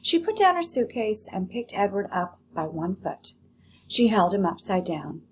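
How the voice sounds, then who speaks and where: A woman teacher giving a read-aloud lesson, explaining and narrating a children's story in an expressive, instructive way. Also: medium pitch, unhurried, a little hiss or hum.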